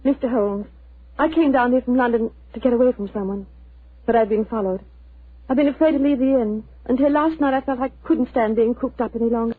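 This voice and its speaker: A woman's voice: a little high, brisk, breathy edge. An actress playing a frightened adolescent girl seeking help in a 1940s radio drama. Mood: anxious. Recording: tinny, lo-fi 1940s radio recording.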